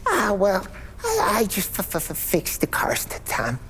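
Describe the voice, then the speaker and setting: An actor playing a timid, nervous mechanic with a heavy stutter in a gangster video game. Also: male voice, sits high, huge pitch swings, measured pace, a bit bright, a little hiss or hum, heavily acted. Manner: timid, hesitant. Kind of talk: video game cutscene acting.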